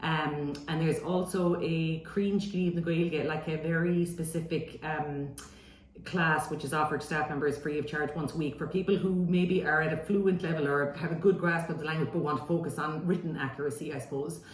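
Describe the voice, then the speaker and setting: A woman, answering interview questions in a relaxed, chatty way, full of fillers and restarts. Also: low-pitched, moderate pace, slight background noise. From Ireland, Gaeltacht. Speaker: university language officer, interviewee. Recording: echoey room.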